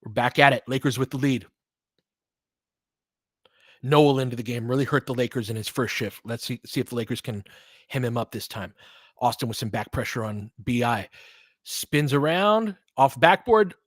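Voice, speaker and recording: A man's voice: medium pitch, normal speed, pauses often, no echo, clean studio sound. A man giving informal, partisan live basketball commentary as a host, reacting openly to the plays like a fan.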